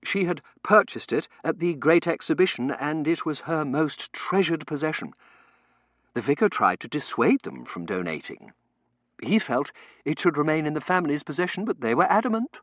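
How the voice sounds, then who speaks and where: Male narrator plays a fussy curate: earnest, pompous, formal, pitched high, audiobook. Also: animated, normal speed, a bit bright, no room sound. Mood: earnest approval. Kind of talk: audiobook character voice.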